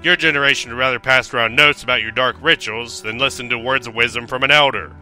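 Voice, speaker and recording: Guy doing crabby cartoon pony teacher, really sharp forceful voice, sardonic rants, bit of music behind. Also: medium pitch, fairly even intonation, fast talker, rough recording. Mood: crabby scolding. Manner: sardonic, ranting, scolding. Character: jaded, crabby pony schoolteacher scolding foals. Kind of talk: parody cartoon dub.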